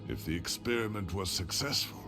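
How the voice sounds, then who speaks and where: An actor playing a weary, regretful professor in a video game, confessing in a halting, reflective way. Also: male voice, sits low, lively intonation. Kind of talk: dramatic video game voice acting. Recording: background music under the voice.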